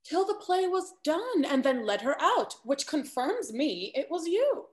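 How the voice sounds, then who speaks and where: A woman's voice: sits high, big pitch swings, unhurried, darkish tone. A young woman playing a scheming, falsely sweet genteel confidante in a Restoration comedy, with an affected, gossipy manner. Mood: feigned concern. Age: young adult. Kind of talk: theatrical period stage acting over video call. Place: indoor room at home, on video call.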